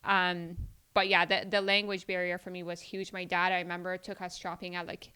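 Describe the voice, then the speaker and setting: Bright-voiced woman, native Farsi speaker. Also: fairly low pitch, level tone, brisk, clean tone. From Iran. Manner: chatty storytelling, many "like" fillers. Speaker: podcast guest. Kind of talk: casual interview chat.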